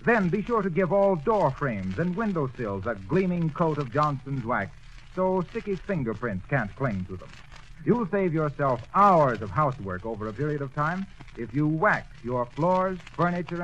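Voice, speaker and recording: Lively, bright-toned radio announcer man. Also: moderate pace. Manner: smooth, persuasive sales pitch. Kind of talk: scripted radio commercial. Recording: dull 1930s radio recording with faint hiss.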